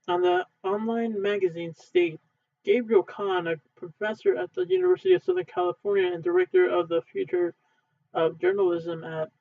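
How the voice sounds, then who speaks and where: A man reading a textbook aloud in a halting way, stumbling and correcting himself as he goes. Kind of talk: unedited read-aloud of a textbook. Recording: thin phone-like low-fidelity sound.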